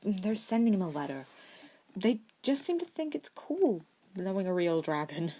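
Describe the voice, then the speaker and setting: An earnest eleven-year-old boy, acted by a woman reader, slightly higher than the narration. He talks casually in a storybook, stubborn and a bit grumpy, sometimes hesitant. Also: sing-song, moderate pace, warm tone. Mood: reasoning.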